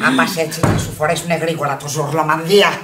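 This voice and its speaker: A woman's voice: low voice, flat delivery, far from the mic, lightly acted. A stern, bossy woman in a radio play, voiced by an actress, scolding as she speaks. She sounds set back from the microphone in an echoey space, on a very noisy old recording. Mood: sharp, warning. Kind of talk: radio drama acting.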